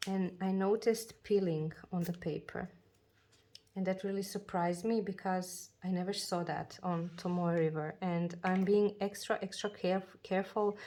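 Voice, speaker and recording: A woman chatting casually about her journals and planners, talking slowly with many fillers and restarts, her voice a bit froggy from a cold. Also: lowish voice. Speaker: journaling video creator. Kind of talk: casual chat showing a planner.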